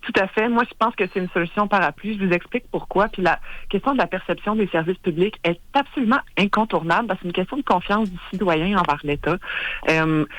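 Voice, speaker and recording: A woman's voice: mid-range voice, fast, a bit bright, dry recording, rough recording. A woman with a Québécois accent answers on a radio show, speaking earnestly and stopping to restart her sentences. Her voice sits close and dry, with no room sound around it. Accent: Québécois French. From Quebec. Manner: earnest and explanatory, many hesitations and restarts. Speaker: guest, head of an independence organization. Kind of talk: live radio interview.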